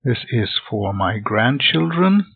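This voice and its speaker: An older man talks slowly and methodically through a software tutorial, his voice gravelly and husky. The recording is dry and tinny, like a phone line. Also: medium pitch, sing-song. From Sweden. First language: Swedish. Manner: methodical, plain step-by-step explaining. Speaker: hobby game developer.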